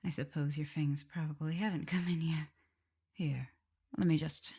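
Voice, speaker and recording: A woman playing an affectionate, teasing vampire mistress with a prim teacherly side, in a soft, intimate, often whispered ASMR roleplay. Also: low voice, fairly even intonation, normal speed, slightly rough. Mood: caring, coaxing. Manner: tender, playful, hesitant murmuring.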